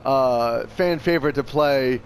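A man's voice: medium pitch, measured pace, a little hiss or hum. A man hosting a gaming channel, chatting casually and enthusiastically with plenty of slang and swearing. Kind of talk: casual chat over gameplay.

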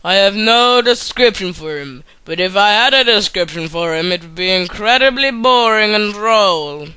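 A man's voice: sits high, lively intonation, normal speed, bright, forceful tone, clean tone, rough recording. A young adult man giving crude, sweary comic scripted narration.

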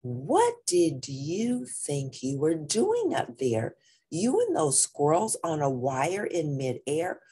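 Worried cat mama for a picture book, acted by a woman: exasperated wails, slow scolding, slightly husky. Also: low-pitched, wildly swooping pitch, warm tone, heavily acted. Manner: wailing, groaning, exclamatory delivery. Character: frazzled, worried mother Siamese cat, scolding.

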